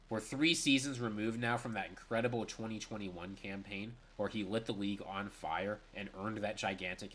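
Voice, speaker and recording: A man's voice: mid-range voice, fairly bright tone. A young man with a stuffy, congested voice, giving a solo reaction and analysis of sports news. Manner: brisk and analytical, with emphatic stress.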